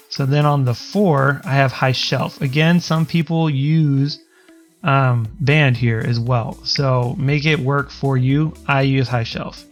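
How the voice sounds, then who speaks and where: A man who says he has a higher-pitched voice, walking through audio settings in a loose, rambling tutorial with plenty of ums and restarts. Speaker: streamer explaining audio settings. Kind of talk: casual screen-recorded tutorial.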